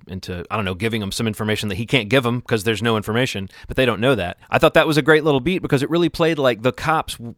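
A man's voice: lowish voice, fairly bright tone, no room sound, studio quality. A man co-hosting a podcast, talking through a TV episode in a casual, rambling, analytical way. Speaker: podcast co-host. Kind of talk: casual TV recap discussion.

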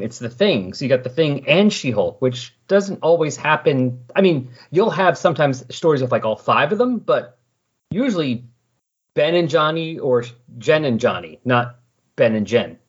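A man's voice: a little high, very animated, normal speed, breathy edge, muffled recording. A man hosting a podcast in casual, unscripted discussion. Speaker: podcast host.

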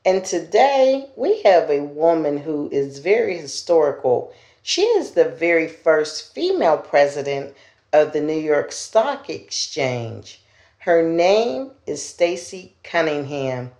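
Woman, podcast host, low voice, loud chatty delivery. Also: very animated, takes it slowly, reverberant. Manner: loud, chatty, trying to speak more softly. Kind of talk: casual podcast talk.

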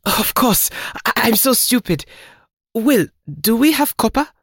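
A man's voice: very high voice, lively intonation, measured pace, fairly bright tone, breathy edge, no room sound, studio quality, voice pushed up, full character voice. A man putting on Wayland, a jittery stammering young techsmith. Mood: flustered. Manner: stammering, eager, anxious.

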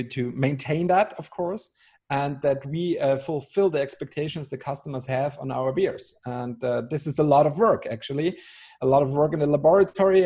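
A man's voice: on the high side, dark tone. A man in his thirties from Austria with an Austrian German accent, speaking English as a guest on a video call, hesitant with many fillers and restarts and a dry sense of humor. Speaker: brewery ambassador, guest. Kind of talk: casual interview over video call.

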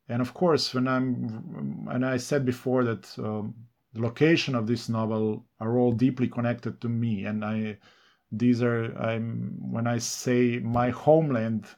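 Slow, halting man with Serbo-Croatian roots. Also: mid-range voice, vocal fry, a little mellow. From Slovenia. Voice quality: halting, many fillers and restarts. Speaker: novelist being interviewed. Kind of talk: reflective interview answers.

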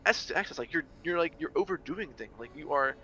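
A man's voice: on the high side, animated, quick pace, pushed and bright, lo-fi sound, slight background noise. A young adult man in casual conversation, rambling through ideas with many restarts and fillers. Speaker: social media creator on biblical masculinity.

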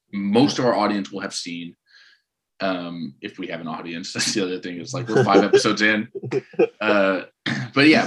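A man in his thirties co-hosting a casual podcast, telling stories in an animated, rambling way and often restarting his sentences. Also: lowish voice, poor audio. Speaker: podcast co-host.

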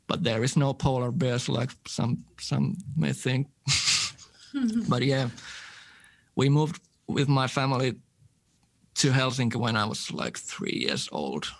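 A man, a guest musician in a casual interview, relaxed and quick to laugh. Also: mid-range voice, gravelly. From Finland. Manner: relaxed, laughs easily, detailed gear talk.

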